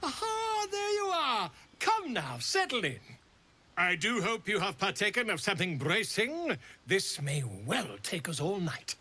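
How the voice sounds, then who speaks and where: A man's voice: sits high, big pitch swings, measured pace, bright, forceful tone, full character voice. A man voicing a pompous, theatrical bard and scholar in a fantasy game, with florid, verbose delivery. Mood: jovial, grandiose. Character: flamboyant, pompous bard and self-styled scholar, grandly theatrical.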